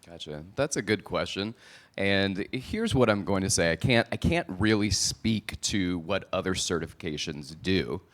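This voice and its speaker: A man hosting a podcast live at a conference, chatting energetically with the audience from a handheld mic. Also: deep voice. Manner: energetic, chatty presenter style with quick asides. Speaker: podcast host. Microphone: handheld microphone.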